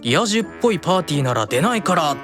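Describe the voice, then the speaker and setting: A man playing a gentle, patient, softly teasing angel in an improvised audio drama, with a calm, soothing manner. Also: on the high side, racing, music in the background. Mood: amused, puzzled.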